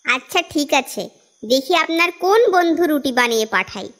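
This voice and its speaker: A woman's voice: sits high, animated, moderate pace, fairly bright tone. A woman voicing a poor, plain-spoken young housewife in a cartoon, with a questioning, plaintive manner. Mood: doubtful. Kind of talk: animated cartoon dialogue in Bengali. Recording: music behind the voice.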